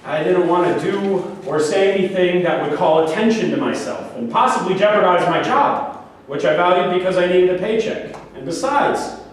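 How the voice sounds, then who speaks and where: In a very echoey room, picked up from a distance, a man performs a higher-pitched male psychiatric patient. He is grandiose and delusional, rambling and arguing his own case in a staged play reading. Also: expressive, normal speed. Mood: defensive. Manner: argumentative, rambling, self-justifying. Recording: very echoey room, distant mic, faint noise.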